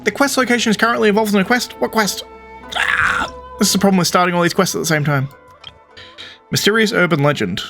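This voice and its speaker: A man's voice: high voice, fast, gravelly, bright, forceful tone, faint music behind. A man giving casual gameplay commentary as a streamer, thinking aloud as he plays. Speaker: video game streamer.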